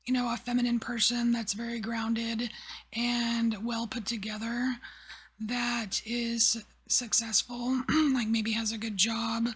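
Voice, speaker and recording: Woman, tarot reader, whispering, very breathy, slightly high voice, rambling. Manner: soft whispering, rambling, lots of fillers.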